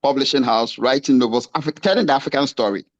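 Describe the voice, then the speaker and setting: A man's voice: medium pitch, fast talker, highs cut off. A man from Ghana, an academic and novelist, asking an impassioned question at a panel, firing off rhetorical questions with rising emphasis. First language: a Ghanaian language.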